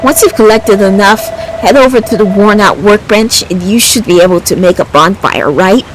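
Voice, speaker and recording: A woman's voice: mid-range voice, normal speed, background noise, lightly acted. A woman voicing a brash, blunt adventure game companion in a casual, bossy, teasing manner. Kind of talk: video game character voicing.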